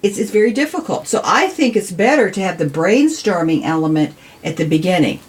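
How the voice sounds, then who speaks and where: American lady on an interview, low voice, earnest, leans on words and repeats them. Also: expressive, a little fast. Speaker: city council member, podcast guest. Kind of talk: conversational interview.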